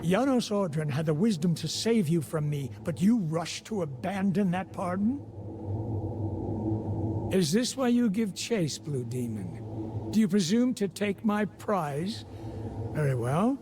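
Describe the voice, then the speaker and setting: An actor playing a proud, zealous warrior commander in a video game, speaking in a formal, commanding, theatrical way. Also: male voice, high-pitched, expressive, unhurried, noisy background. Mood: defiant. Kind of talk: dramatic video game voice acting. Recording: music under the voice.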